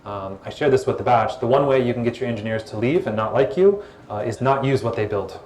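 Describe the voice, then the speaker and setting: A man answering audience questions at a startup event in a calm, relaxed, conversational way, on a mic in a hall. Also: medium pitch, fast. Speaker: guest speaker, former social media executive. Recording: echoey event hall with faint room noise.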